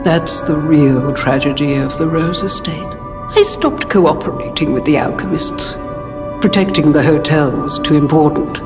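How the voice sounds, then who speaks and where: A solemn, genteel guardian. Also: female voice, low voice, unhurried. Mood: grave. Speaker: video game character. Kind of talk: scripted game cutscene dialogue. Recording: long reverb under music.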